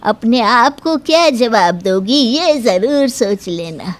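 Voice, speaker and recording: Woman playing a slow, stern Indian mother-in-law. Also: on the high side, lively intonation, slight background noise. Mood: grave, reproving. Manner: slow, measured, admonishing. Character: stern but fair middle-aged Indian mother-in-law. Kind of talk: Hindi moral-story cartoon dialogue.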